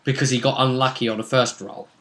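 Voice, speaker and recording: Bright-voiced man recapping a tabletop game. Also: medium pitch, little pitch movement, fast talker. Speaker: game master and host. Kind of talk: casual recap of a tabletop role-playing session.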